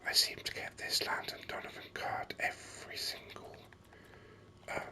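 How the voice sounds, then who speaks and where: A gaming host giving ASMR commentary in a breathy whisper, musing in an unhurried, hesitant way close to the microphone. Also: bright, forceful tone.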